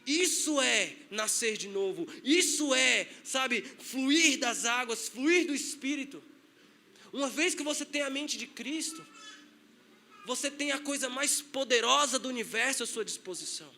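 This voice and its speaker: High, bright-voiced Brazilian preacher. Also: male voice, lively intonation, measured pace, creaky, a little hiss or hum. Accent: Brazilian Portuguese. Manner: conversational preaching with acted-out quotes. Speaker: pastor. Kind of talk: sermon.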